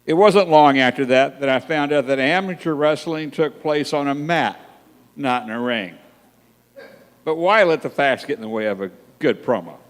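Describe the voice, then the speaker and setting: A man's voice: mid-range voice, moderate pace. A man narrating his own story in a wry, reflective way. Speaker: narrator looking back. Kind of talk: scripted narration. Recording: long hall reverb.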